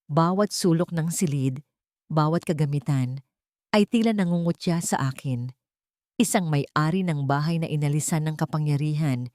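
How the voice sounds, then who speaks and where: A woman's voice: deep voice, a little mellow, dry recording, clean studio sound. A woman narrating a dramatic first-person audio story in a solemn, sorrowful manner.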